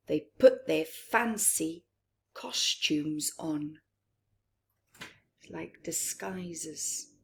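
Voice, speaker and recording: A woman reading a picture book aloud to young children, expressively, with asides to her listeners and hushed whispers. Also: medium pitch. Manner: acts out characters, pausing for asides to listeners. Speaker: children's story reader.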